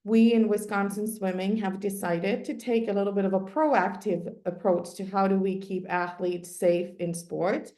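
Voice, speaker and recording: A woman's voice: mid-range voice, dark tone. A woman whose first language is Finnish gives a sports psychology webinar talk, explaining step by step with frequent ums and restarts. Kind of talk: webinar presentation. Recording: roomy echo.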